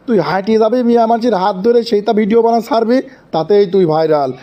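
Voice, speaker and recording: A man acts out a comic cartoon guru who lives in the forest. His voice is notably high, with a Bangladeshi accent. He preaches and chides in an exasperated way, repeating his orders for emphasis. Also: brisk, muffled recording, lightly acted. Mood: bossy advising. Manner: preachy, chiding, repeats commands. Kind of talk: exaggerated comic cartoon acting.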